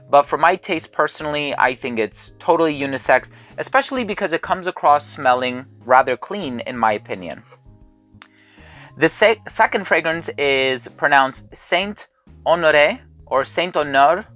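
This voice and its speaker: A man's voice: on the high side, fairly bright tone. A man hosting a fragrance channel, chatting casually and thinking aloud while he smells each bottle. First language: English. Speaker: fragrance reviewer. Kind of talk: casual sponsored haul video.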